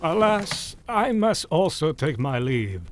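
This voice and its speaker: An actor playing a courteous, formal Victorian university scholar in an audio drama. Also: male voice, on the high side, big pitch swings, slow pace, a little hiss or hum.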